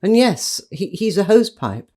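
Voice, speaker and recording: A woman narrating a children's bedtime story in an expressive, playful storytelling manner. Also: medium pitch, normal speed.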